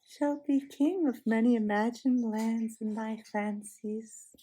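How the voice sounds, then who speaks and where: A woman voicing a sly witch queen who speaks in a soft, sweet, quiet and musical way, coaxing and half-mocking, in a storybook reading. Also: a little high, animated, slow pace, poor audio.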